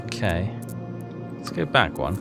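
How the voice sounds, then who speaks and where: A man with a slightly low voice gives casual game commentary over clear background music. He muses aloud as he goes, reading out text and working through it like a playthrough host. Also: big pitch swings, a little slow. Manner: musing, thinking aloud.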